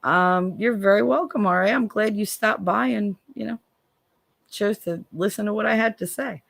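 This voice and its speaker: A woman's voice: normal speed, gravelly, no echo. An American woman hosting a casual livestream, reading viewer comments and talking bluntly, with profanity and sarcastic jabs. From United States. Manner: blunt and profane, swings from low weary tones to sharp sarcastic jabs. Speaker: livestream host.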